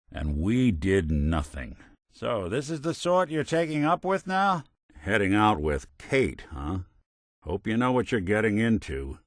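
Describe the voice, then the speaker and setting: An actor playing a wry, world-weary, decent middle-aged robot private detective in a video game, in a streetwise American drawl with a hard-boiled noir style. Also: male voice, fairly low pitch, dry recording, clean studio sound, heavily acted. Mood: wry, knowing.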